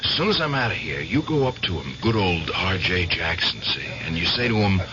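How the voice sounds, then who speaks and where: An actor playing a cool, sly piano player who coaxes briskly in a radio drama. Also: male voice, low voice, very animated, pushed and bright, exaggerated voice. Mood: cool, coaxing. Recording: dull hissy old radio recording.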